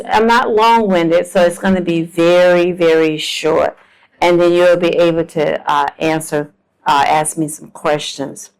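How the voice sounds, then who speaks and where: A woman's voice: fairly low pitch, clean tone, poor audio. A woman in her seventies from South Florida, telling her life story to an audience in a homespun way, with ums and self-deprecating jokes. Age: 70s. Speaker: guest speaker. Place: lecture room with a live audience.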